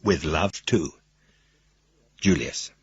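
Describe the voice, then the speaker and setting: A man's voice: extremely low pitch, very slow, slightly rough, no room sound, muffled recording, heavily acted. An actor playing a philosophical, courtly businessman in love, reading his formal, wistful letter aloud in a radio drama. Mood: tender.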